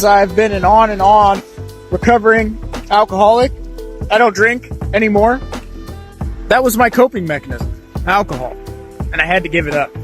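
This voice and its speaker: A man with a notably high voice introduces himself as a life coach, setting his words down in slow, deliberate phrases. Soft music plays faintly beneath him. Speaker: life coach and podcast co-host. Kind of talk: personal introduction, reflective monologue.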